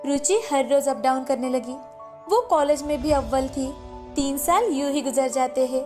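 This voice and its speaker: A woman narrates a children's moral story from a script. Her voice is very high for a woman. Faint music plays behind the narration. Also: moderate pace.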